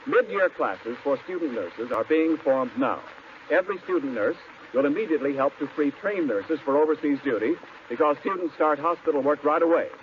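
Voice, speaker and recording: A man's voice: a little high, lively intonation, brisk. An American man announcing in an emphatic, formal 1940s radio style, reading scripted copy. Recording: muffled, noisy 1940s radio recording.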